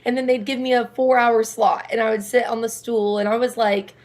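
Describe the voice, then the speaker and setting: A young woman singer with a low, raspy voice, chatting casually and laughing often as an interview guest. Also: steady tone, brisk, darkish tone. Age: young adult.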